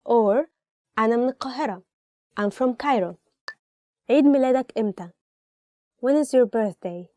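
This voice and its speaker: A higher-pitched woman with an Egyptian accent, native in Arabic, hosts a language lesson. Her tone is on the mellow side and the sound is dry and close. She explains conversationally and gives simple examples. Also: fast talker, long pauses, no echo. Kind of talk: scripted language lesson, presented informally.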